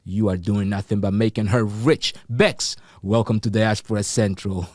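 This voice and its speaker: Lively male studio interview host. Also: fairly low pitch, moderate pace, dry recording. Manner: enthusiastic, repeats phrases, laughs often.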